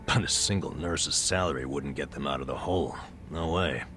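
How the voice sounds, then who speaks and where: A man's voice: rumbling low, normal speed, noisy background. An actor playing a burned-out, middle-aged former nurse in a video game, telling his story with an American accent and a wry, self-deprecating weariness. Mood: wry, regretful. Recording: music behind the voice.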